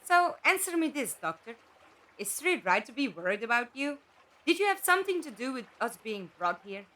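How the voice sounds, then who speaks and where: An actress in a fan audio drama playing a wry, sceptical, questioning companion to a time traveller. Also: female voice, a little high, big pitch swings, normal speed, bright, forceful tone, rough recording. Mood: suspicious.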